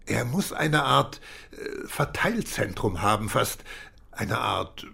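Senior police chief, radio drama, courteous, deliberate, raspy, lively intonation. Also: male voice, medium pitch, exaggerated voice. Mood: businesslike. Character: senior British police chief, measured, courteous, knighted man.

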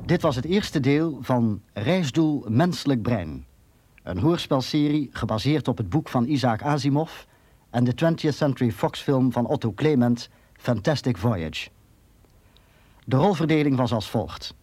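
Male announcer for radio drama, mid-pitched, formal deliberate delivery, close dry sound. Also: animated, darkish tone, husky, no echo. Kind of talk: scripted credits announcement.

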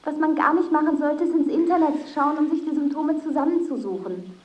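A woman's voice: high voice, a little fast, darkish tone, natural voice. An actress playing a worried, insistent woman in a radio drama, pleading and lecturing by turns. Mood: lecturing, exasperated. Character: worried, insistent woman coaxing a hypochondriac man.

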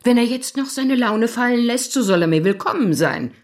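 Husky, haughty upper-class mother. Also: fairly high pitch, lively intonation, moderate pace. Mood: bitter, proud. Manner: formal, haughty, controlled. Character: proud, cold upper-class mother, unbending and stern. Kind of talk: radio drama acting.

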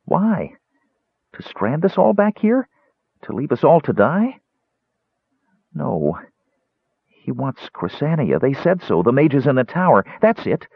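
A man reading aloud voicing a small, excitable male kender with a high, wavering voice. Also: unhurried, creaky, husky, no room sound, full character voice. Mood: distraught. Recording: tinny phone-like dry sound.

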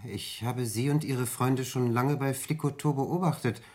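A man plays a poor young poet in a radio play. He is sensitive and proud, with a mid-pitched voice and careful dramatic delivery. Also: measured pace, darkish tone, lightly acted. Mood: polite, curious. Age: young adult. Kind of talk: German radio drama acting.

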